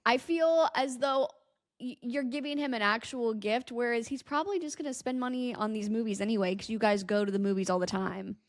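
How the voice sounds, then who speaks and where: A woman's voice: on the high side, fairly quick, no room sound, clean studio sound. A woman co-hosting a podcast, speaking in casual conversation. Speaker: podcast co-host. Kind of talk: casual chat.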